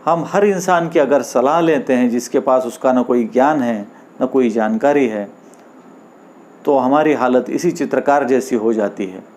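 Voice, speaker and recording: A man narrating a scripted moral story as host, in deliberate phrases with pauses between them. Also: mid-range voice, a little mellow, slight background noise.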